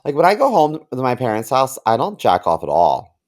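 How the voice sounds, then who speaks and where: Man, very lively intonation, casual comedic banter, podcast co-host. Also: mid-range voice, brisk.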